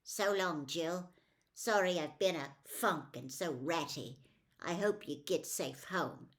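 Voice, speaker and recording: A woman voicing an earnest, excitable schoolboy adventurer with old-fashioned slang in a storybook. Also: on the low side, takes it slowly. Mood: sheepish farewell.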